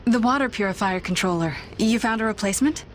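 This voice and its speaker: A woman playing a composed, enigmatic explorer in a game cutscene, speaking in a measured, formal way. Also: medium pitch, slight background noise, natural voice. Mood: composed, cryptic. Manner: measured, deliberate, formal phrasing. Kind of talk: dramatic game cutscene dialogue.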